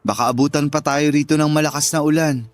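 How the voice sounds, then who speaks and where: A man playing a young, devoted brother-in-law in a radio love drama, with a fairly high voice. He consoles and reassures steadily over a music bed. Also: fairly even intonation, fast talker, natural voice. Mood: earnest, reassuring.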